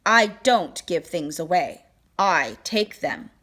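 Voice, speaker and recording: A woman's voice: lowish voice, expressive, slow pace, fairly bright tone, exaggerated voice. A woman voicing a greedy, sullen king in a storybook, with an imperious, emphatic and demanding delivery. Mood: outraged.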